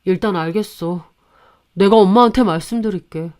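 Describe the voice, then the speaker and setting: Woman doing a sulky grown-up boyfriend, grudging and stiff, clean clear sound, storytime drama. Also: medium pitch, moderate pace, a little mellow, lightly acted. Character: reluctant, sullen adult boyfriend siding with his mother.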